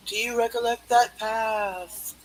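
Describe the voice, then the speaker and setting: A performer voicing a solemn, commanding ghost in a play reading, speaking in a formal, measured way. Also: medium pitch, fairly even intonation, natural voice, low-quality recording. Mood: grave, probing. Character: solemn, commanding Ghost of Christmas Past spirit. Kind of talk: theatrical live play reading.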